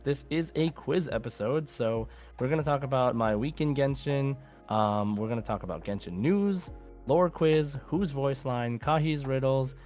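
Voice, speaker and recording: Male podcast host, casual gaming chat, conversational delivery, mid-pitched voice, music in the background. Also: darkish tone. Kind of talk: casual podcast chat about a video game.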